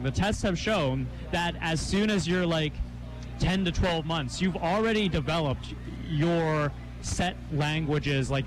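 A young man hosts a live campus radio show. His voice sits a little high, and he keeps the talk loose and chatty, laughing often and tossing in quick asides. Music plays clearly underneath him. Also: poor audio. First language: Cantonese. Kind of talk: casual live radio interview.

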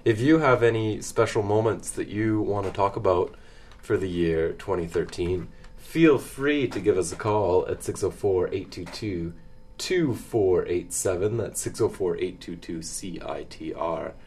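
A man's voice: deep voice, measured pace, darkish tone, a little hiss or hum. A man co-hosting a radio show with casual, conversational talk.

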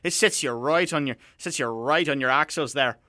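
A man's voice: mid-range voice, moderate pace, bright, forceful tone, dry recording, clean studio sound, lightly acted. A man voicing a comic, chatty Irish pub storyteller with a put-on Irish accent, rambling and repeating himself colloquially. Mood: playful rambling.

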